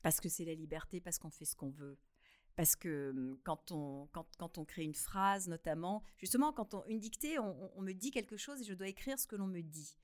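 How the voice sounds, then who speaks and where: A woman guest author talking in a radio interview, laughing easily and bursting into loud emphasis, with many hesitations and repeated words. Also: fairly low pitch, normal speed.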